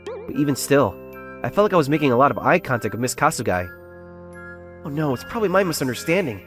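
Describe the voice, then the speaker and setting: A man's voice: mid-range voice, sing-song, quick pace, soft background music, close to an everyday voice. A man playing an earnest, self-doubting young salesman who secretly feels like a nerd, narrating his own story in a comic dub. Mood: flustered. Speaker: narrator and lead character of a romance comic dub. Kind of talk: first-person dramatised narration.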